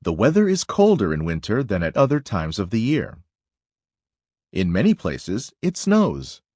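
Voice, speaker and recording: A man narrating a children's nonfiction book aloud in a deliberate, instructive way. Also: on the low side, huge pitch swings, a little mellow, dry recording, clean studio sound.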